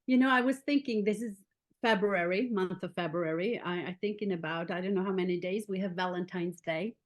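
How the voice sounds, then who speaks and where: A woman in her forties from Austria with an Austrian German accent, a pastor speaking as a podcast guest and telling her story warmly and personally. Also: fairly low pitch, fairly quick. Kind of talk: casual faith podcast chat.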